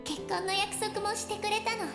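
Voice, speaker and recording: An extremely high-pitched, fragile young anime girl speaks with soft tenderness, as in a visual novel. She sounds gentle and lovestruck. Her voice carries a roomy echo, with music playing behind it. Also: steady tone, very fast. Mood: tender, wistful. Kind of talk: Japanese visual novel voice acting. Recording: echoey game audio with music behind.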